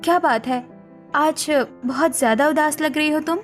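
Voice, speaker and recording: Supportive, assertive young daughter-in-law female actor, high-pitched, animated family drama, music bed. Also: moderate pace, natural voice. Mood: earnest. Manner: earnest, firm.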